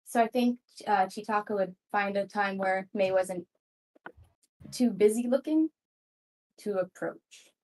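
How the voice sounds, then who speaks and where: A woman's voice: medium pitch, unhurried, long pauses, darkish tone, low-quality recording. A woman chatting casually and roleplaying as a player in a tabletop game.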